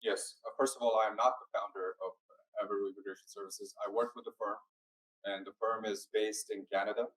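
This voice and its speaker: A man from Pakistan gives a seminar talk, mixing languages as he explains. His voice sits fairly low, and his pace is unhurried, with many fillers between points. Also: fairly even intonation, a bit bright, low-quality recording. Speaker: immigration firm speaker. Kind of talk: seminar presentation.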